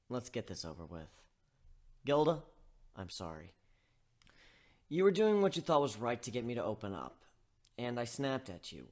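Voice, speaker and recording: A man's voice: mid-range voice, lightly acted. Man acting a remorseful, guarded young man. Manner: self-reproaching, confessional. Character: remorseful, emotionally guarded young male protagonist of a story. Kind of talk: dramatic story reading.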